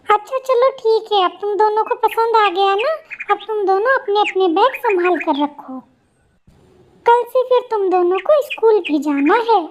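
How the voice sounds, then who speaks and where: A caring mother bird from a cartoon story, voiced by a woman. She speaks in a high, motherly, soothing way with faint noise behind her. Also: measured pace.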